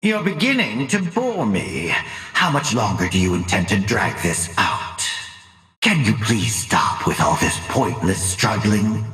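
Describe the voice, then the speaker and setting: A cruel older mad scientist from a video game, sinister and theatrical. He draws out his mocking words slowly, with pitch that leaps and dives dramatically. The voice rings in an echoey, reverberant space, full of condescension and glee. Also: very animated, fairly bright tone, faint music behind. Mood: taunting, gleeful. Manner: condescending, drawn-out, mocking articulation. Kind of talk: video game villain acting.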